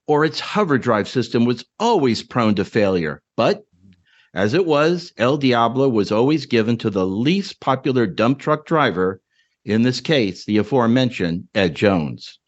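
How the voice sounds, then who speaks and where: A man's voice: medium pitch, dull audio. A man giving a dramatic reading of his own short story as a podcast co-host.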